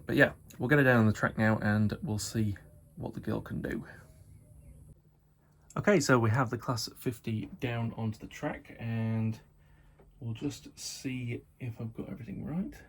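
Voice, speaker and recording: A slightly croaky man chatting casually as he shows off and tests a model locomotive, repeating his praise and filling pauses with ums. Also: mid-range voice, steady tone. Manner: chatty, repeats praise words, many fillers. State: croaky from hay fever and little sleep. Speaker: model railway hobbyist reviewer. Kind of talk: casual unboxing and test-run commentary.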